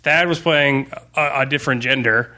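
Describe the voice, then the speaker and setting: A man's voice: medium pitch, moderate pace, pushed and bright. A man speaking as a game master, talking casually and looking back on a campaign in a podcast discussion. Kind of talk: casual chat, tabletop gaming post-mortem podcast.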